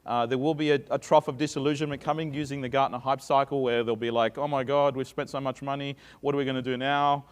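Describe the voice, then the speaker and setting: A man's voice: mid-range voice, little pitch movement, fast talker, hardly any pauses, clean tone. A middle-aged man with an Australian accent, giving a chatty conference talk full of fillers and restarts. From Sydney, Australia. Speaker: conference speaker. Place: conference stage. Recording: reverberant conference hall.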